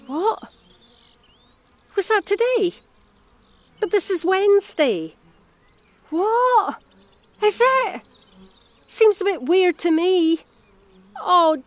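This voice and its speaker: A woman's voice: sits high, no echo, full character voice. An actress playing a harried, self-reproachful Scottish mother in her thirties in an audio drama, talking in a naturalistic, confiding way. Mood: flustered, apologetic. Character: harried, guilt-ridden mother of a nine-year-old boy.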